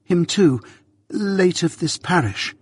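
Timid pleading young boy voiced by a man, high and hushed, husky, storybook reading. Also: expressive, slow, no echo, studio quality, exaggerated voice.

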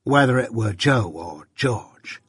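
A man voicing a simple, kindly country working man who speaks slowly and haltingly with rustic grammar in a storybook. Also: mid-range voice, expressive, fairly bright tone, breathy edge, no echo, studio quality, full character voice. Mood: slow, deliberate.

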